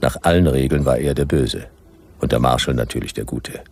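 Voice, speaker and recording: An actor playing a laconic, world-weary detective, telling his story in a dry, deadpan, gravelly voice in a radio drama. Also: very deep voice, a little fast, exaggerated voice. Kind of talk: first-person radio drama narration.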